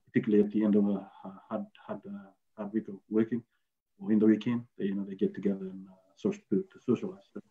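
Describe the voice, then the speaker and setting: A man from Fiji, a guest on a video call, explaining in a halting way with many fillers and restarts. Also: on the low side, little pitch movement. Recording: tinny video-call audio.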